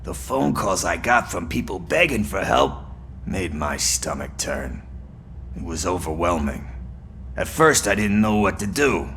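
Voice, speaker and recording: An actor playing a cocky, weary police partner in a video game, with wry, self-satisfied banter. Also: male voice, low voice, sing-song, measured pace, a bit bright, a little hiss or hum, exaggerated voice. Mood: wry, sincere. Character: cocky, wisecracking but weary male rookie cop partner. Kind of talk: video game cutscene acting.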